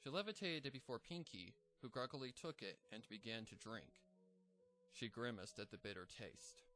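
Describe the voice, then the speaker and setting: A man reading a fanfic aloud in steady, even-toned narration. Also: mid-range voice, moderate pace. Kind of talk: storybook reading.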